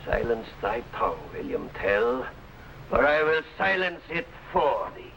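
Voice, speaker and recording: An actor playing a tyrannical, sneering governor who speaks in archaic, threatening phrases in an old adventure serial. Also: male voice, mid-range voice, sing-song, a little slow, gravelly, fairly bright tone, exaggerated voice. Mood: furious. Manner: imperious, archaic, threatening speech. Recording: noisy old broadcast recording.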